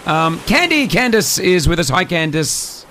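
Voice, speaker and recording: A man's voice: high voice, big pitch swings, unhurried, sharp tone, slight background noise. A man hosting a morning radio show from the studio, chatty and teasing with callers and guests. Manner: teasing, chatty, many fillers. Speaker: radio host. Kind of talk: casual radio banter.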